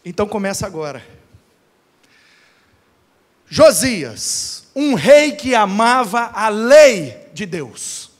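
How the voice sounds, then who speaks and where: A Brazilian man preaching as a pastor, colloquial and emphatic, with rhetorical pauses and dramatic storytelling. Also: high-pitched, sing-song. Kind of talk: animated sermon with dramatic storytelling. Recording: long hall reverb.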